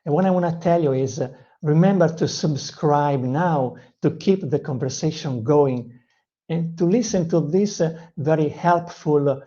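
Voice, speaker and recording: Italian-accented channel host teaching English; earnest, hesitant delivery, warm low timbre, muffled recording. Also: moderate pace. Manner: earnest, with frequent "uh" hesitations and self-corrections. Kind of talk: motivational teaching talk.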